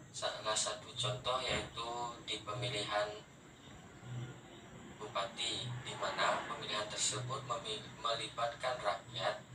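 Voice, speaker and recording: A young man gives a prepared answer in a flat, even tone. He sounds roomy and away from the mic, in a noisy recording. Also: lowish voice. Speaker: student interviewee. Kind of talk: prepared opinion statement. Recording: roomy, distant mic, clear background noise.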